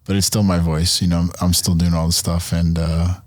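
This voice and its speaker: A man talking as a guest on a casual podcast, relaxed and self-deprecating, often restarting his words. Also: low voice, a little fast, no echo, studio quality. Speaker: podcast guest, brand founder. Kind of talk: casual interview chat.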